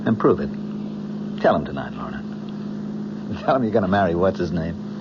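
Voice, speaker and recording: An actor playing an insistent, resentful young fiancé in an old-time radio drama. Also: male voice, deep voice, big pitch swings, moderate pace, muffled recording. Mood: insistent, pleading. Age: young adult. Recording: noisy band-limited old radio recording.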